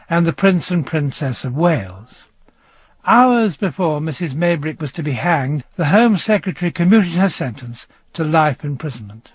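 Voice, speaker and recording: A man presenting a scripted true-crime radio programme, narrating in a measured storytelling manner. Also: fairly high pitch, husky, no echo.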